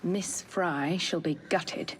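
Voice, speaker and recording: An actress playing a cold, vengeful Victorian villainess in a video game, measured and ominous. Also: female voice, fairly low pitch, slight background noise. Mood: cold threat.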